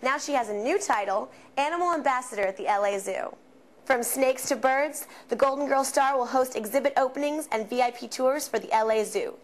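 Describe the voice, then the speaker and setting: A woman's voice: high-pitched, fairly quick. A young American woman reporting scripted TV news in a formal, enunciated newscaster delivery. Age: young adult.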